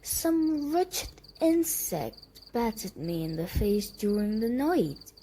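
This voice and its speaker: A woman's voice: on the high side, big pitch swings, takes it slowly, dark tone, a little hiss or hum. A woman voicing a clever, cheerful male hero in a children's story, with a breezy, teasing manner. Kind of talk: children's storybook character reading.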